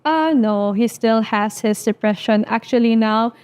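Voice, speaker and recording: A woman's voice: on the high side, darkish tone, clean tone. Earnest young woman, Danish native. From Denmark. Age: young adult. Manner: earnest, halting with frequent fillers. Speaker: interview guest. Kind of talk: personal interview.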